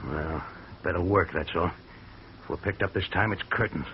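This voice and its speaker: An actor playing a wary escaped convict in a 1940s radio drama, suspicious and clipped. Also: male voice, deep voice, normal speed, a little hiss or hum. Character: wary male escaped convict posing as farmhand. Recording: muffled old radio recording.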